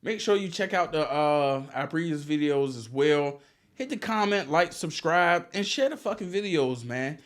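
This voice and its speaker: A man's voice: on the high side, lively intonation, moderate pace. A man hosting a casual podcast, talking loosely with frequent swearing and laughter. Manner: loose, profane, laughs often. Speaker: podcast host. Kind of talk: casual chat.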